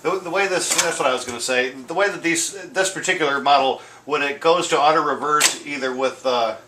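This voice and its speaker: A man's voice: fairly high pitch, brisk. A man giving a casual product demonstration, explaining in a rambling way and often correcting himself mid-sentence. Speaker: vintage audio gear seller demonstrating a tape deck. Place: indoor room at home, beside stereo gear. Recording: roomy echo with noticeable background noise.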